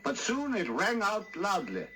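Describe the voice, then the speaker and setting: A man narrating a classic tale for a radio drama, with measured, theatrical, deliberate phrasing. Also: high voice, huge pitch swings, bright, forceful tone, a little hiss or hum.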